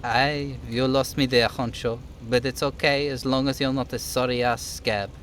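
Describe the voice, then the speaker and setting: A jolly, sly union striker from a video game, played by a man. He chats in a folksy, teasing way, pitched up and loose, over audible background noise. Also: fairly even intonation, measured pace, fairly bright tone, close to an everyday voice. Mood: easygoing.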